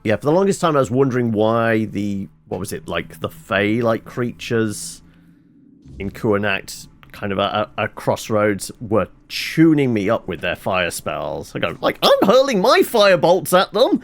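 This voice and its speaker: A man's voice: on the low side, big pitch swings, unhurried. A man giving casual video game commentary, rambling and thinking aloud to himself. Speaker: gameplay streamer.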